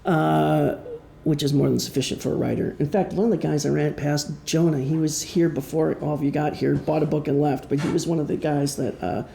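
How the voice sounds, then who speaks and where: A man from Wisconsin with a Midwestern American accent giving an explanatory public talk as an author, with deliberate pauses. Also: a little high, steady tone, vocal fry, mellow, a little hiss or hum. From Wisconsin, United States. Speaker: author presenting his novel. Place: indoor presentation room. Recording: echoey room.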